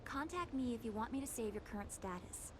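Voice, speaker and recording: Brief: female actor as youthful military data analyst for a video game, high, polite, earnest and friendly. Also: expressive, fairly quick, background noise, natural voice. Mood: instructive. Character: bright, polite young female technology specialist.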